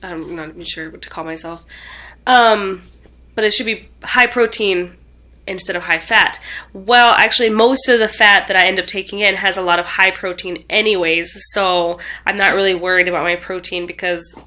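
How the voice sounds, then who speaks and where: Stuffy-nosed young woman, bright voice. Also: medium pitch, steady tone, moderate pace. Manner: rambling, with frequent ums and self-corrections. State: stuffed up from a cold; tired. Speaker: vlogger. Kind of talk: casual rambling vlog update.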